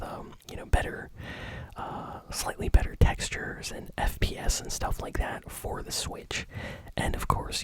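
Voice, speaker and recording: A woman hosting gaming news in ASMR style, speaking in a soft, soothing, breathy whisper. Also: low-quality recording.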